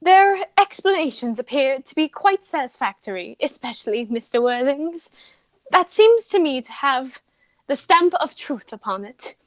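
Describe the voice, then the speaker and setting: A woman's voice: high voice, very animated, measured pace, dry recording. A woman acting a haughty, dignified young society lady in a radio play comedy, speaking in a formal, declarative way. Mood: grand, decisive.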